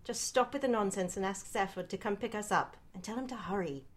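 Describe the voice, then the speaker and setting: A woman's voice: medium pitch, a little fast, close to an everyday voice. A woman voicing a weary, fussy, put-upon grown man in a read-aloud story, grumbling and prim. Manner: grumbling and prim, easily flustered. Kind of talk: storybook reading.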